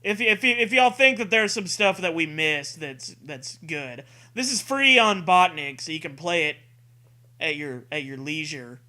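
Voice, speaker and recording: A man co-hosting a comic story read-aloud, swearing freely and excitably between narration and casual banter. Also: a little high, measured pace. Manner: profane, excitable. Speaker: co-host reading a comic story.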